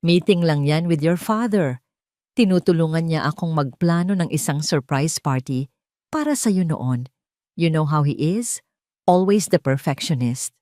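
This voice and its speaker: A woman's voice: sits low, lively intonation, unhurried, warm tone, no echo, clean studio sound. A woman with a Filipino accent voicing a scheming, bitter, obsessive woman in love in an audio drama, emphatic and theatrical. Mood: falsely breezy.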